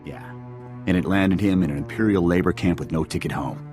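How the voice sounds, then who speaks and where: Man playing a deep-voiced, gruff military officer. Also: fairly quick, over music, natural voice. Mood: irritated. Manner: clipped military speech, blunt. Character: gruff, loyal male army sniper officer, blunt and protective. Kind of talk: video game voice acting.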